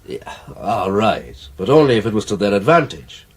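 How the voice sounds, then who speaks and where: An actor playing a calm, reassuring British man in a radio drama, reasoning things out matter-of-factly. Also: on the low side, normal speed, breathy edge. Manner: matter-of-fact, explanatory. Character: calm, reassuring, reasoning male amateur investigator friend.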